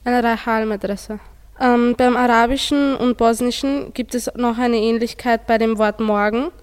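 A woman's voice: on the high side, steady tone, fast talker, clean tone. A teenage girl, a school student, giving a prepared presentation in a reverberant recording. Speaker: school student in a radio project. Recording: long hall-like reverb.

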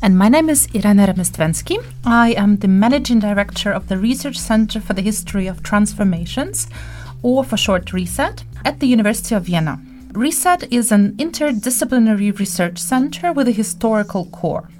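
A woman's voice: mid-range voice, animated, a little fast, a little mellow, faint music behind. A middle-aged woman whose first language is Ukrainian, a research center director, carefully reading a prepared introduction. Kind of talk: scripted radio introduction.

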